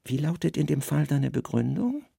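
A man playing a weary, brooding Roman governor with a sharp, commanding mind, in a literary radio drama. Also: a little high, big pitch swings, moderate pace, creaky, breathy edge, dry recording, studio quality, pitched above the performer's own voice, exaggerated voice. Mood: probing, dry.

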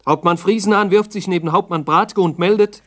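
A man's voice: high voice, a little fast, fairly bright tone. A man narrating a 1960s documentary radio drama in a terse, factual reporting manner.

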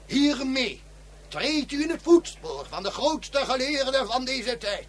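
A grandiloquent official holds forth in a high, reedy voice, piling up ceremonial phrases with self-important gravity. Each sentence is drawn out and weighty, as if every word deserved a medal. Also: male voice, expressive, takes it slowly, full character voice. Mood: solemn. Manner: ornate, solemn speechmaking. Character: pompous long-winded male official, middle-aged. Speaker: dignitary giving a ceremonial speech. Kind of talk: radio play acting.

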